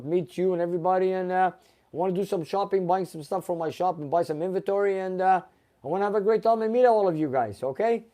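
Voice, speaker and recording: Man hosting live car chat, mellow. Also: high voice. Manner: reads out viewer names and comments, chatty. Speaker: host of a live car show. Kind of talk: casual live-stream chat reading viewer comments.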